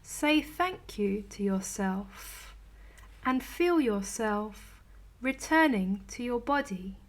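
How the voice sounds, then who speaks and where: A woman leading a guided meditation in a soothing, gentle, unhurried manner with long pauses between phrases. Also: a little high, lively intonation. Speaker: meditation teacher.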